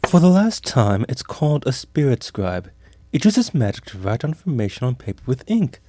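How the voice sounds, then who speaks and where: A man's voice: mid-range voice, very animated, moderate pace, dark tone, far from the mic. A man playing a fussy, defensive, easily exasperated mage in a comic anime parody.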